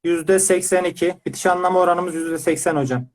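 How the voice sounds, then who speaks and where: High-voiced man giving a testimonial. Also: fairly even intonation, a little fast. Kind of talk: conversational testimonial.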